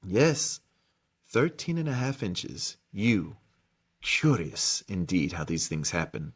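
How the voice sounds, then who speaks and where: A man voicing an eccentric old wandmaker from a storybook, ominous and mysterious, with slow, deliberate, savoring delivery. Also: low-pitched, big pitch swings, slightly rough, pitched below the performer's own voice, heavily acted. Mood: ominous, hushed.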